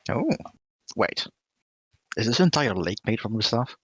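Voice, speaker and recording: A man's voice: lowish voice, animated, measured pace, breathy edge, no echo. A man chatting casually as a player in a tabletop roleplaying game, with slightly lo-fi sound.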